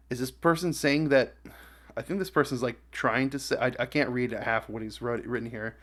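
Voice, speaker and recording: A man hosting a casual reaction video, with a mid-pitched voice. He reads comments aloud and adds his own remarks in an offhand way, often halting and stumbling as he goes.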